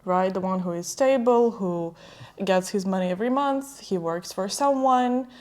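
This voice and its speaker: A woman's voice: mid-range voice, normal speed, a little mellow. A woman from Ukraine, a native Ukrainian speaker with an American-sounding accent, hosting a casual chat with her partner. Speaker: podcast host.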